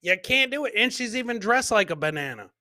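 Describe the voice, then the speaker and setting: High-voiced, bright male comedy podcast host. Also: sing-song, fairly quick, a little hiss or hum. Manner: repeats phrases, addresses producer and viewers. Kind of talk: casual live comedy commentary on video clips.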